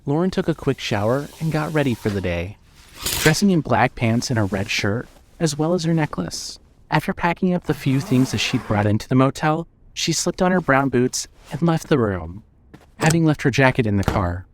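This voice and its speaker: A man's voice: mid-range voice, expressive, moderate pace, slight background noise. A man narrating a scripted story as the narrator of an audio drama.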